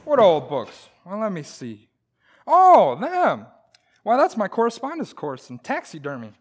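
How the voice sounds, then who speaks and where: A man's voice: high-pitched, wildly swooping pitch, a little slow, husky, exaggerated voice. A man playing a blustering, wisecracking, stubborn husband in old-time radio comedy, with a folksy, exaggerated, jokey delivery. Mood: defensive, wisecracking.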